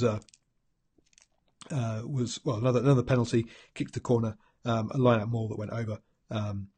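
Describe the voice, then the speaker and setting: Congested nz guy with a cold, husky, rambling rugby recap, lots of restarts. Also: mid-range voice, darkish tone. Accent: New Zealand. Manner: rambling with many ums and restarts. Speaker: rugby pundit host. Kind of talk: solo post-match analysis.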